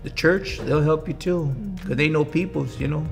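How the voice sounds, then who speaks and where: A man's voice: fairly high pitch, fairly even intonation, moderate pace, vocal fry. An older man telling his own story casually in an interview. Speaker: interviewee helped by a church. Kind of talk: casual personal testimony. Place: indoor church sanctuary, seated interview. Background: music.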